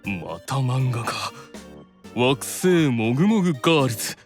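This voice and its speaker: Stern, doting older brother. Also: male voice, medium pitch, big pitch swings, a little slow, soft background music. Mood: exasperated. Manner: commanding, scolding, flustered when softened. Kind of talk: anime audio drama acting.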